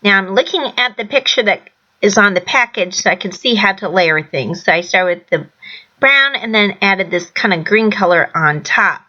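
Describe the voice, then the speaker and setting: A woman hosting a casual scrapbooking tutorial, slightly hoarse from a sore throat, explaining each step in a chatty way. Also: mid-range voice, pushed and bright, muffled recording. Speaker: crafting channel host.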